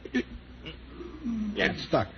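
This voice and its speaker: An actor playing a droll, needling pal with a dry manner in a 1940s radio comedy. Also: male voice, a little slow, lightly acted. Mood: easygoing. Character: droll, needling bachelor pal who loves a bet. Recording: muffled, noisy 1940s radio, studio audience.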